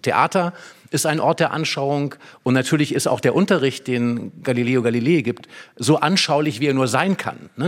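A man speaking as a theatre expert, explaining in a conversational public talk with little imagined exchanges. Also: mid-range voice. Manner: conversational, illustrates with imagined dialogue.

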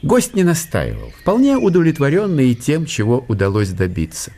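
Guy narrating a radio play, pitch swoops all over, very expressive. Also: moderate pace. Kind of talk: scripted literary narration.

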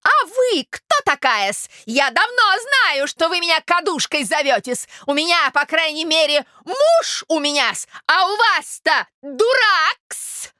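A woman voicing a touchy, quarrelsome provincial gentlewoman in a literary audiobook, screechy and affronted. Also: extremely high pitch, big pitch swings, slow pace, few pauses, dry recording, studio quality, full character voice. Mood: outraged, shrill. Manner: screechy, affronted, servile -s word endings.

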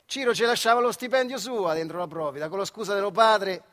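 A man's voice: high-pitched, fast talker, a bit bright. A man with a central Italian accent from the Marche, telling a story as a colloquial theatrical monologue with conversational asides. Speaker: storyteller, stage actor.

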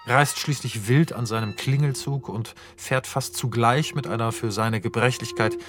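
A man's voice: mid-range voice, steady tone, moderate pace. Matter-of-fact male radio drama narrator. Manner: precise, matter-of-fact, literary delivery. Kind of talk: scripted narration of stage directions in a radio drama.

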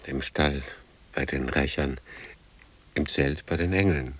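An actor playing a weary, brooding older man in a radio drama, speaking gravely in halting fragments. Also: very deep voice, full character voice.

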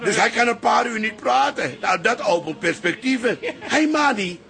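A man acting in a radio drama as a chatty, excitable father who runs a photo studio and likes to tease. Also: extremely high pitch, moderate pace, creaky, exaggerated voice. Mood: teasing.

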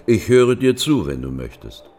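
An actor playing a calm, fatherly older doctor in a German radio drama, speaking in a deliberate, reassuring way. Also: mid-range voice, a little hiss or hum, close to an everyday voice. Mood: caring, firm.

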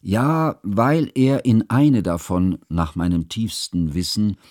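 An actor playing a mild, cultured schoolteacher who narrates his memoir in a radio drama, measured, bookish and reflective. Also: on the low side, darkish tone, no room sound, clean studio sound. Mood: grave reminiscence.

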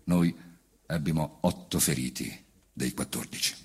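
A man's voice: rumbling low, unhurried. A man reciting a memoir in a declamatory, theatrical stage style. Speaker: reciting actor. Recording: loud band music behind the voice. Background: brass band accompaniment.

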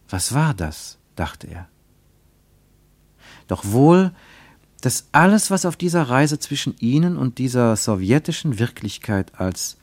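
A man playing an earnest, contemplative narrator in a literary radio play, phrasing deliberately and rhetorically. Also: mid-range voice, dry recording.

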